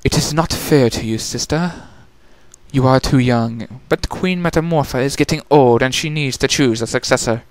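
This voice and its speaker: A man voicing a gentle, dutiful eldest sister creature, protective and regretful, with a measured, formal manner in a story reading. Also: medium pitch, dry recording, lightly acted.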